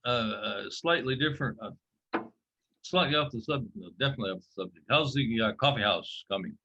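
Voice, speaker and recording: A man's voice: medium pitch, expressive, brisk, bright, forceful tone, slightly rough. A man chatting casually as a club member in an online meeting. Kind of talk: casual chat. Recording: dull lo-fi video call audio.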